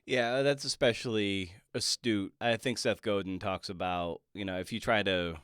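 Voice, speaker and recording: Male podcast host. Deep, clean voice, dry close sound, thoughtful and halting conversational delivery. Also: fairly even intonation, a bit bright, smooth, dry recording. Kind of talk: conversational interview.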